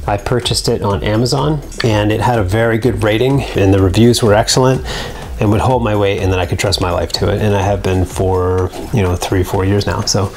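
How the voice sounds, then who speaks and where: A man in his thirties from Canada, explaining sailing gear in a practical, steady casual review, recorded close to the camera in a small bare room. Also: fairly low pitch, brisk.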